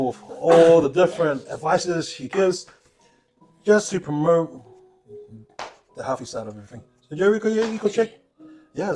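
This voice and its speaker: Halting, playful man asking questions. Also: fairly high pitch, darkish tone. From Samoa. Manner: halting, repeats himself, playful. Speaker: co-host, interviewer. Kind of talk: casual chat. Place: home.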